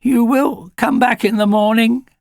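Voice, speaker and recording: A man's voice: very high voice, slow pace, creaky, no room sound, studio quality, pitched above the performer's own voice, exaggerated voice. A man voicing a big, frightened male puppy hound who sobs and pleads. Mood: pleading. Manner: sobbing, pleading, whimpering.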